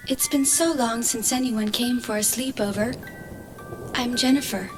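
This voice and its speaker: Sweet, lonely, old-fashioned ghostly girl: lightly high voice, long reverb, kids' horror tape. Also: unhurried, over music. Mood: wistful, eerie. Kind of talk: children's audio horror drama acting.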